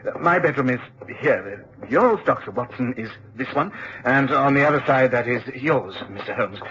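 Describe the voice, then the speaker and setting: An actor playing an anxious aristocrat in a radio drama, hesitant, courteous and fussy. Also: male voice, medium pitch, lively intonation, moderate pace, a bit bright, lightly acted. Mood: nervously courteous. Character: anxious, fretful aristocratic lord, morbidly fearful host. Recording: dull, noisy radio recording.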